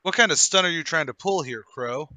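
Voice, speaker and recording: A man playing a smug, haughty gentleman murderer in a radio play table read, with a theatrical delivery. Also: on the high side, expressive, brisk, no room sound. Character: smug gentleman murderer, flustered then coolly confessing.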